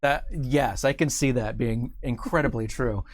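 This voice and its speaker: A man's voice: medium pitch, unhurried, slight background noise. A man hosting a casual studio interview, rambling and circling his way through questions with asides. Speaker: host interviewer.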